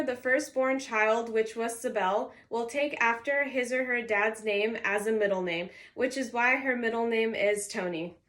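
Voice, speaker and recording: Brief: woman in her early twenties, college student, higher-pitched, reads a prepared speech point by point, first language Arabic. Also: fairly bright tone. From California, US. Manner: structured, reading from notes with signposted points. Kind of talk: reading a prepared class introduction speech.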